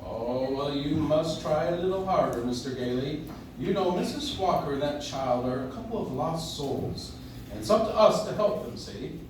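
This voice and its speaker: A man playing a kindly old Santa Claus in a live radio play, gentle, halting and grandfatherly. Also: a little high, expressive, distant mic, natural voice. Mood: kindly, encouraging. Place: coffeehouse stage, picked up from the audience. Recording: echoey noisy room, recorded from audience.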